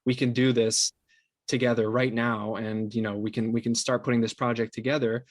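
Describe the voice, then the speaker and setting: Male interview guest, medium pitch, explains conversationally with trailing pauses and repetitions; dry sound. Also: flat delivery, no echo. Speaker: interview guest, podcast co-founder and sound designer.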